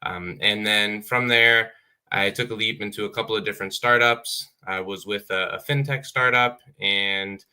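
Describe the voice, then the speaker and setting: A man's voice: lowish voice, moderate pace, pushed and bright, smooth. A man in his thirties from Ohio, a business owner telling his story earnestly with lots of "uh" fillers on a video call. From Dayton, Ohio. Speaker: apparel business owner guest. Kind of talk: casual panel chat.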